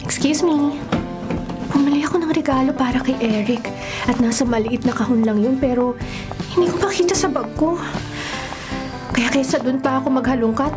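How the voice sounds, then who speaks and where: A woman's voice: high-pitched, lightly acted. An actress playing a polite young woman in a Filipino radio horror drama, with music behind her. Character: polite young woman invited to a birthday date.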